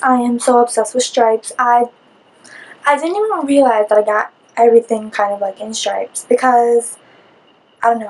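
A young woman vlogger, her voice raspy from a cold, chatting in a rambling way about her shopping haul, recorded on a camera mic in a small room in front of a curtain. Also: fairly high pitch, darkish tone, low-quality recording. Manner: chatty, rambling, with many "like" fillers. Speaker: beauty vlogger. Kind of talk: casual haul video chat.

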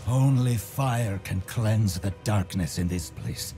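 A man playing a grave, zealous hunter of evil, speaking solemnly in a video game cutscene. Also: lowish voice. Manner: solemn, measured, dark. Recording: music behind the voice.

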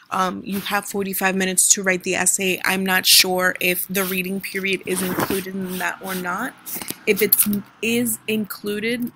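A young American woman teaches a recorded lesson over her slides, walking students through terms patiently. Her voice carries a gravelly fry at the ends of phrases, recorded close and dry with no room sound. She fills pauses with ums and keeps checking understanding with "right?". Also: mid-range voice, fairly bright tone, dry recording. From United States. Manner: explanatory, frequent "um" and "right?" checks. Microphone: computer microphone, voice-over on screen recording.

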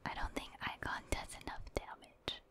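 A woman speaking in a soft, breathy whisper, giving casual ASMR commentary in short remarks as she plays a video game. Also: normal speed.

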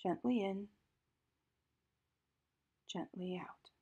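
A woman narrates a guided meditation at a gentle, measured pace, leaving space between phrases. Her voice is mellow and mid-pitched, heavily breathy and soft, often thinning to a near whisper as phrases end. The delivery stays calm and instructional. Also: dull audio.

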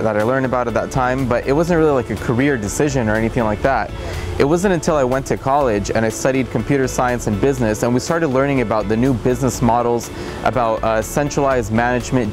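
Young man explaining his farm. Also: medium pitch. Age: young adult. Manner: earnest, frequent fillers and restarts. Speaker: farm company founder. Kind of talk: interview. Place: outdoors in a greenhouse. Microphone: lapel.

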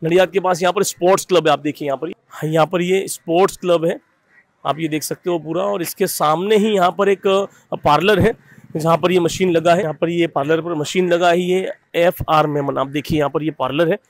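A man hosting an on-site customer review video for a company, talking upbeat and energetic as he tours a small bottling room. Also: fairly high pitch, brisk. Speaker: company representative host.

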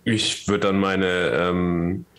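A man with a fairly low voice talks as a player at an online tabletop game. He sounds unsure and halting, in casual chat. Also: flat delivery, a bit bright.

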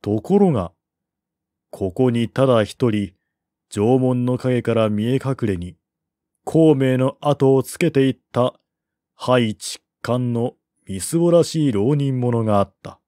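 Man, audiobook narrator, slow measured dramatic reading, dry close sound. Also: medium pitch, dark tone, no room sound. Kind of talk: dramatic literary reading of a historical novel.

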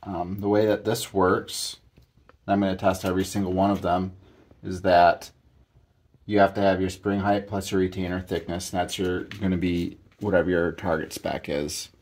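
A middle-aged man giving a casual, halting how-to narration, thinking aloud as he works with his hands. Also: sits low, flat delivery, darkish tone. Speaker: hobbyist mechanic demonstrating a repair. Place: home garage workshop, indoors.

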